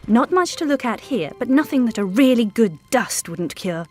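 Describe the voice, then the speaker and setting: A woman's voice: a little high, expressive, moderate pace, warm tone, faint music behind, natural voice. An actress voicing a fussy, condescending noblewoman in a video game. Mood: chirpy. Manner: genteel, chirpy, condescending. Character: prim, fussy noblewoman, house-proud and bossy. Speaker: game character.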